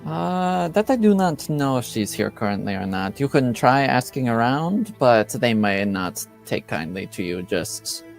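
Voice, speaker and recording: A man's voice: medium pitch, very animated, measured pace, creaky, few pauses. A man voicing a reserved theater woman in a tabletop game, hesitant and formal in speech. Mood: polite, aloof. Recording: faint music behind.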